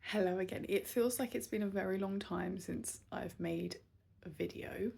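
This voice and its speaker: A woman in her thirties recording a casual vlog, talking unscripted and candidly, with many pauses, fillers and restarts. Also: mid-range voice, gravelly, darkish tone, slightly rough. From southern England. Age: 30s. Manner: candid and self-reflective. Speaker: vlogger.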